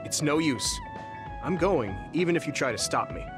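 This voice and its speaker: A man's voice: a little high, expressive, moderate pace, over music, natural voice. A man playing a kind, protective young soldier in an anime-style video game, speaking in a friendly, earnest, reassuring way. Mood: worried, insistent.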